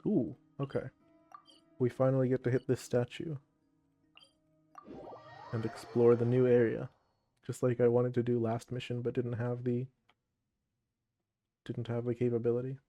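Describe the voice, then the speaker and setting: Mellow man musing over a video game. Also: mid-range voice, monotone, normal speed, long pauses. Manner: musing, thinking aloud. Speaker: let's play host. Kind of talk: casual gameplay commentary. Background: game music.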